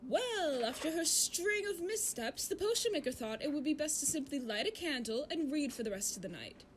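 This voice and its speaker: A prim storybook narrator played by a woman with a high, very lively voice. She tells a fairytale in a polite sing-song, fussy and controlling, insisting that things go her way. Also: normal speed, slight background noise. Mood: insistent, flustered.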